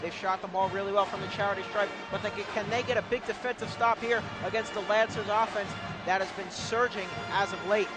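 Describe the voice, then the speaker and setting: A man's voice: high voice, steady tone, brisk, over loud music, rough recording. A man working as color commentator on a live basketball broadcast, adding conversational analysis and player statistics. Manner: conversational, stat-citing asides. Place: indoor basketball arena.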